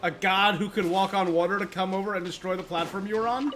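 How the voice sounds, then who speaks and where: A man's voice: high voice, steady tone, fast, music in the background. A man giving casual livestream commentary on a game, thinking aloud and playfully reacting.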